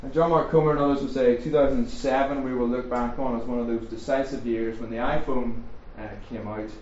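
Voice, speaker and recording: A middle-aged man with a Northern Irish accent giving a jokey, fast-paced talk to a live audience, full of ums and questions to the room. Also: mid-range voice, steady tone, a little mellow. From Northern Ireland. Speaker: speaker at a faith and culture seminar. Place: large echoey room with an audience. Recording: roomy, distant, dull sound with faint hiss.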